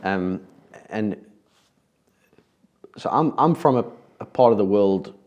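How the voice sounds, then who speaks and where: A man's voice: fairly low pitch, animated, slow pace, darkish tone, lo-fi sound. A man from South Africa with a South African accent, hosting a podcast in a casual, conversational way with frequent restarts. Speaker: podcast host.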